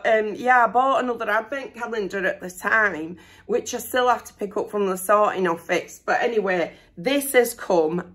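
A middle-aged woman chatting excitedly to camera from her bedroom, her voice still hoarse after losing it to illness. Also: medium pitch. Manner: chatty, excited, self-deprecating. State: hoarse, recovering from lost voice. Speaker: vlogger. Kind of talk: casual chat. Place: bedroom at home, indoors.

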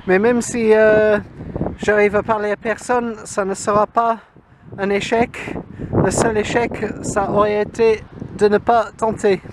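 A man with a fairly high voice talks casually and slowly to his handheld camera. He pauses between short phrases, hesitating as he goes. Clear outdoor noise with some traffic sits behind him. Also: level tone. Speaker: amateur radio hobbyist. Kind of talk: casual narrated vlog. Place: outdoors on a grassy hill. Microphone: handheld camera microphone.

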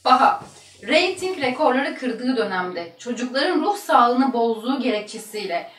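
A woman's voice: a little high, lively intonation, moderate pace, a bit bright. A woman reading quiz questions aloud as the quizmaster of a casual show. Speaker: off-camera quiz question reader. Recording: echoey, distant, faint room noise.

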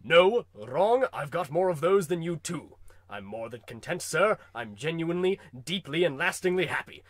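A man's voice: a little high, big pitch swings, normal speed, no room sound. A man playing a smug, brusque, boastful, prosperous father in a comic radio play. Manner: pompous, curt, boastful.

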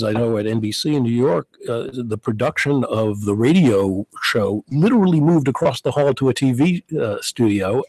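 A middle-aged man fills in as host of a public radio talk show. His voice is slightly husky and his pitch sits in the middle. He asks questions in a steady, articulate way, recorded dry on a close studio mic. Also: no room sound, low-quality recording. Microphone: studio mic with headphones.

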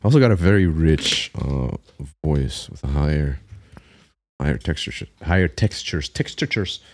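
A man in his thirties reacting to a live concert on his stream, talking casually with slang and bursts of excitement, recorded on a studio mic in a small room. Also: extremely low pitch, measured pace. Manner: excitable, slangy, breaks into laughs and hums. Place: small room with blue lights, gaming chair. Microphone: studio mic on arm, headphones.

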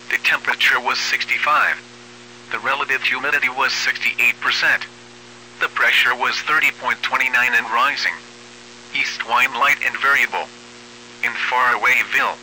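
Automated-sounding male weather announcer, text-to-speech, piercingly bright, measured and formal, faint radio hum. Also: medium pitch, rough recording. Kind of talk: automated weather bulletin reading.

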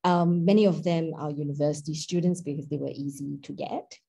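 Relaxed Singaporean woman lecturing online. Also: sits low, fairly quick, vocal fry, breathy edge. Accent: Singaporean English. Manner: relaxed, conversational, with frequent fillers and hedges. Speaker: linguist lecturer. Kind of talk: academic webinar talk. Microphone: laptop webcam on video call.

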